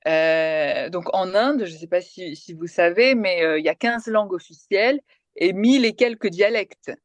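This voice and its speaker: Woman, 40s, from India, raised in France, first language Tamil; journalist guest, reflective storyteller, lowish voice. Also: normal speed, dry recording. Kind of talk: interview answers.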